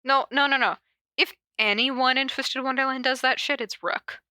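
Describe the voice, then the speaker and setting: Female podcast reader, bright tone, dry recording, playful and self-mocking, casual asides amid the narration. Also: sits high, steady tone, moderate pace, no echo. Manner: playful, self-deprecating, breaks off to correct stumbles. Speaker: podcast host reading fan fiction aloud. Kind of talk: cold reading of stories with casual asides.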